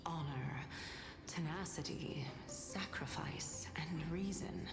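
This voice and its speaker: Low-voiced, composed priestess. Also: female voice, whispered. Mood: weary resignation. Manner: deliberate, grave, formal. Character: composed, authoritative woman who officiates rites. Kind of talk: dramatic video game acting. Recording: echoing game audio with music.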